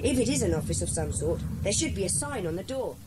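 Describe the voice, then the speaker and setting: A woman playing a bossy, sensible schoolboy leader in a children's audio drama, speaking in a brisk, decisive way. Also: mid-range voice, sing-song, very noisy, natural voice. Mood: thoughtful.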